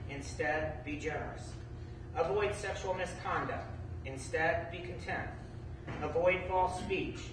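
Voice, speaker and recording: A man giving a prepared spoken personal narrative in a measured, declarative way, phrase by phrase. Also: on the high side. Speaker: speaker telling a personal faith story. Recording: echoey room, distant mic, clear background noise.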